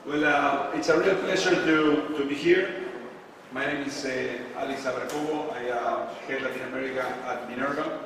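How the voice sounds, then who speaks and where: A man's voice: mid-range voice, fairly even intonation. A man presenting to a crowd at an event, heard from a distance in a big hall with a long, roomy reverb. He talks casually, stopping often on "uh" and asking the audience questions as he goes. Faint room noise sits under his voice. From Argentina. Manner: casual, frequent filler pauses, asks the audience questions. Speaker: conference speaker. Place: event space with a live audience. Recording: echoey hall, distant mic.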